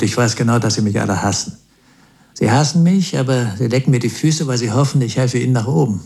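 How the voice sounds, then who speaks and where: An actor playing a smug, ruthless rock-business boss in a radio play, boastful, self-assured and cynical. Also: male voice, mid-range voice, gravelly, darkish tone, close to an everyday voice. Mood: cynical, menacing.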